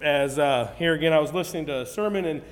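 High-voiced man preaching in a reverberant hall. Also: quick pace. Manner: earnest, conversational, with repeated restarts. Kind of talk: sermon.

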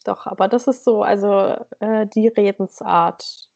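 A woman's voice: medium pitch, fairly even intonation, measured pace, gravelly, darkish tone, dull audio. A woman chatting hesitantly as an interview guest over an internet call, often searching for words. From Germany. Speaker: podcast guest, emigrant blogger. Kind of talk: casual conversational interview.

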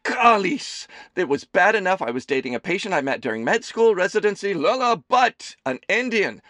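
A man voicing a doting, gossipy, middle-aged mother who runs a diner, loud and theatrical. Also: sing-song, normal speed, dry recording, studio quality. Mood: teasing. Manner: loud, theatrical, high chirpy voice.

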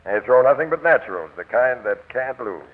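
Vintage radio, tinny and dark: man as terse American police captain, brisk, authoritative, no-nonsense. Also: mid-range voice, expressive, close to an everyday voice. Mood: brisk, businesslike. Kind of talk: 1940s radio drama acting. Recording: tinny old radio broadcast.

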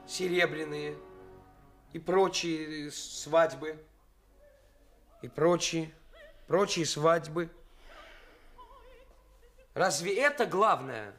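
A young man's fairly high voice in a radio drama, playing a nervous suitor who tries to sound respectable. He speaks very slowly and haltingly, deferential but edged with cynical bravado. Faint music sits behind him in the 1980s radio production. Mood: lecturing, cynical. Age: young adult. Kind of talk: theatrical radio play acting.

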